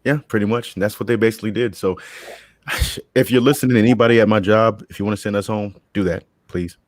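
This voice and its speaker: A man's voice: on the low side, steady tone, quick pace, no echo, clean studio sound. A man co-hosting a casual chat, laid-back and laughing often as he tells stories. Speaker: podcast co-host.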